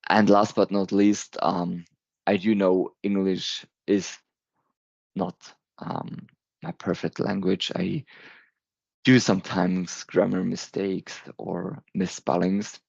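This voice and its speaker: A man's voice: low-pitched, little pitch movement, takes it slowly, gravelly, a little mellow, no echo, muffled recording. A man in his thirties with a German accent, giving a recorded talk, earnest and sometimes stumbling over words. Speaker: presenter.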